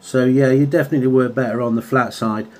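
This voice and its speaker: A man with a mid-pitched voice makes casual radio contact as a hobbyist. He is chatty and slips easily into radio jargon. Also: fairly even intonation, fast talker. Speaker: radio hobbyist and video maker. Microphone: handheld radio microphone.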